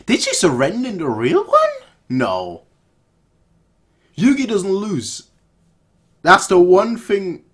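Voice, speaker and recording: Guy doing casual reaction video, bright voice, up and down pitch, rambly, repeats himself. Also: huge pitch swings, slow, pauses often, breathy edge. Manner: rambling, repeats phrases, exclaims. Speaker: YouTube reaction host.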